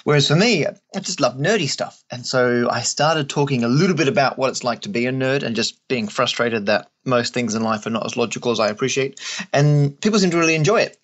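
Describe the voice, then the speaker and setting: An Australian man speaks conversationally, like a guest answering interview questions. His voice sits at an average pitch for a man. He tells things in a wry, jokey way. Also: brisk. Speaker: guest, stand-up comedian and mathematician.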